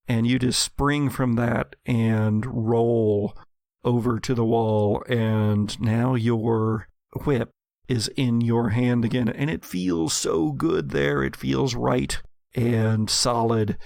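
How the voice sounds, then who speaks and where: A man runs a tabletop horror game as its storyteller, addressing players in vivid, dramatic second person. He speaks at an unhurried pace, with a gravelly creak in his voice. The sound is very dry. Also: medium pitch, a little mellow, dry recording. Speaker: game master.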